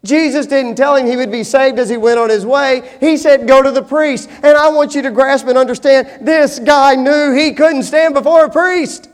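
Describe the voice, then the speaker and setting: A middle-aged male pastor preaching a sermon, shifting from hushed, slow stretches to emphatic, rising appeals. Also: very high-pitched, steady tone. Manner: dynamic, swings from hushed to emphatic. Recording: long hall reverb.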